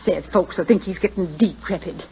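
An actress playing a prickly, pious small-town woman in an old radio drama, with a husky voice and querulous folksy speech. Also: lowish voice, fairly quick, dark tone, slight background noise, natural voice, rough recording. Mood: prickly, righteous.

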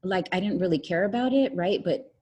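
A young woman from the United States, a guest telling her life story in a relaxed chat, with lots of "um" and "like". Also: lowish voice, brisk, muffled recording. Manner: rambling, many fillers like "um" and "like". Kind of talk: casual interview conversation.

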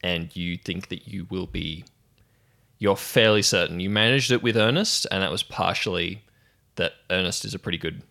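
A man acting as game master, narrating a tabletop roleplaying game in measured, descriptive phrases with mid-sentence pauses. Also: low voice, creaky, sharp tone.

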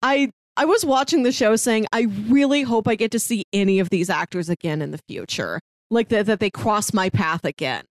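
A woman chats informally as a podcast host, her pitch moving up and down in a lively way. The sound is dry, with no room around her, and her delivery is conversational review talk. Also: moderate pace, no room sound. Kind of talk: casual review chat.

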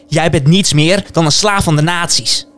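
A man's voice: on the high side, moderate pace, fairly bright tone, close to an everyday voice. An actor playing a defiant, anguished resistance man in a radio drama, pleading and accusing with raw emotion. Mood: bitter, defiant. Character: anguished, defiant young Dutch resistance man confronting his brother. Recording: clear background noise.

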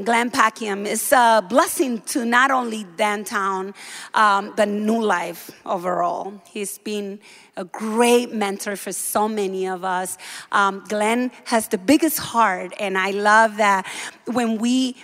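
A woman from the Dominican Republic preaching a sermon in a church, fervent and building to shouts. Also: medium pitch, slow. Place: church sanctuary.

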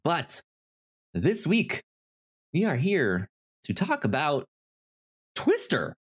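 A man co-hosting a podcast in casual movie chat, speaking haltingly with frequent repeated words and false starts. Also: a little high, wildly swooping pitch, husky. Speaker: podcast co-host.

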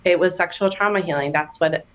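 Low-pitched woman guest, candid and animated storyteller. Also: brisk, muffled recording. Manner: animated storytelling, laughing, bursts of high excitement. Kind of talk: candid personal testimony in a podcast interview.

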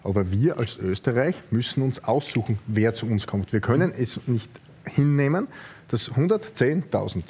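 Man, politician in debate, combative, repetitive, husky, mid pitch. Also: animated, moderate pace, dark tone. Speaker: politician guest. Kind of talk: heated debate.

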